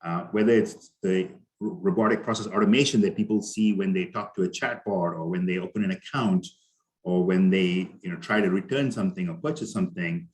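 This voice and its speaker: A man's voice: low voice, brisk, poor audio. A middle-aged man with an Indian accent giving a conversational conference talk over a video call, with many fillers and restarts. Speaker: conference speaker. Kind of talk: conference presentation.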